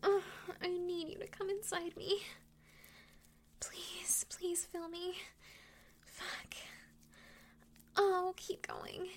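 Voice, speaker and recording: Female voice for intimate adult audio roleplay: high-pitched, breathy, frequently whispered, with very slow delivery. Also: flat delivery. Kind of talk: intimate erotic audio roleplay, addressing a partner.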